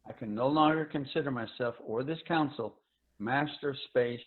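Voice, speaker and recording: A man's voice: medium pitch, moderate pace, natural voice. A solemn, aging space commander played by a man in a dramatic reading. He declaims with weary gravity, and the sound is narrow and phone-like, as over a video call. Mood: solemn, resigned. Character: old, stiff, weary male space ranger general.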